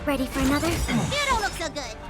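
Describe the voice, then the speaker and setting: High, animated, cocky girl fighter. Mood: cocky, eager. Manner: brisk, taunting, energetic. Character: feisty, cocky young female fighter. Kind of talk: video game combat voice lines. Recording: loud music, mechanical noise behind.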